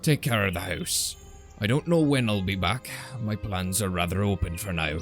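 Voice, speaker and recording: A man voicing a gruff, plainspoken dwarf master of a mountain house in a fantasy audiobook. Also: low voice, normal speed, faint music behind. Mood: measured, gruff. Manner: plainspoken, grumbling, hesitant at times.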